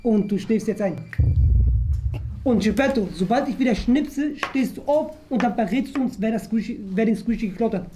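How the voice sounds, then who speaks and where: A woman's voice: on the high side, animated, moderate pace, mellow, slight background noise. A young woman hosting as she plays a police inspector in a playful improvised interrogation. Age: young adult. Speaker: host playing a police inspector. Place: indoor studio room at a table.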